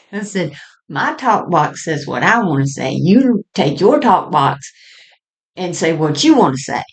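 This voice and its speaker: A woman telling personal stories in a halting, conversational way with frequent small chuckles as she reminisces. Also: low voice, big pitch swings. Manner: halting and conversational, often chuckling mid-sentence. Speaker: storyteller sharing personal experience.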